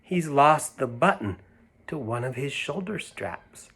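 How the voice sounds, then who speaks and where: A man voicing a tired, gentle mother refusing her child in a storybook. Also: mid-range voice, animated, measured pace, a little hiss or hum. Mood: weary, gentle.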